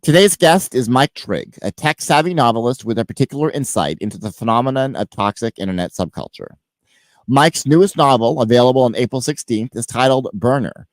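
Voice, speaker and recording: Male host with a head cold, mid-pitched, lively rises and falls, close dry mic. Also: no echo. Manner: emphatic, list-like delivery with swings in level. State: stuffed up with a cold. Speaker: podcast host. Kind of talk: scripted monologue then casual interview.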